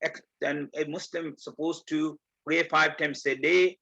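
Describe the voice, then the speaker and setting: A man from Bangladesh giving a community presentation, explaining step by step and earnestly, with many pauses. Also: fairly high pitch, steady tone, gravelly, pushed and bright, slightly rough. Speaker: guest speaker. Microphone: video call. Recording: muffled video call audio.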